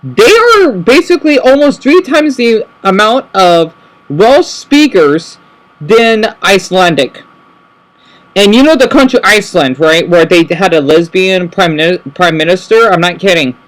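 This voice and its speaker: A man with a high voice talks casually to camera and keeps rambling. He corrects himself over and over and tags his points with "right?". He slows right down when he spells out words. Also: expressive, fairly bright tone, poor audio. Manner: rambling, self-correcting, frequent "right?" tag questions. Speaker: vlogger.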